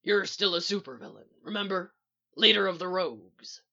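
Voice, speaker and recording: A woman reading as a blunt, gruff male criminal partner in a story. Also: medium pitch, slow, a bit bright, dull audio. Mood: reassuring.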